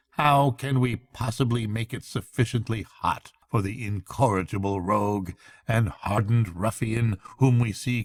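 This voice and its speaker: A man voicing a cheerful, pompous magistrate in a storybook, speaking formally with deliberate emphasis and relishing harsh judgement. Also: medium pitch, no room sound, lightly acted.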